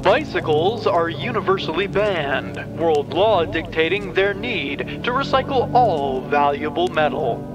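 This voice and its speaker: A man reads a scripted dystopian tale as its narrator. His delivery is slow, measured and dramatic, in a slightly high voice, with clear music underneath. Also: animated.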